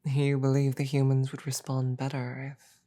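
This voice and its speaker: A woman voicing a regal, calm demon queen in a roleplay audio drama, speaking in a slow, deliberate, breathy murmur with measured pauses and quiet menace. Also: rumbling low. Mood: pensive, probing.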